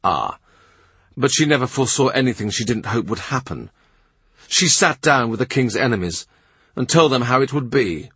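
A man's voice: mid-range voice, sing-song, moderate pace, fairly bright tone, husky, no echo, exaggerated voice. A man voicing a shrewd middle-aged royal minister who talks in a dry, cutting, sardonic way. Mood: cool, sardonic. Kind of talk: audiobook character reading.

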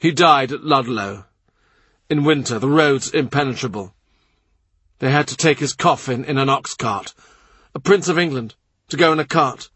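A man's voice: mid-range voice, normal speed, a bit bright, breathy edge, no room sound, pitched above the performer's own voice. A man reading the part of a troubled middle-aged king who dwells gloomily on a dream of his dead brother. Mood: brooding. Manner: brooding, troubled, speaks at length. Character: weary anxious middle-aged male king.

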